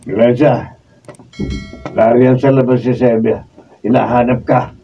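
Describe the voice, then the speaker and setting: An actor playing a smug, easygoing middle-aged husband in a Filipino radio drama. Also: male voice, mid-range voice, little pitch movement, a little slow, gravelly, music in the background. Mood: matter-of-fact. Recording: dull distant mic, faint noise.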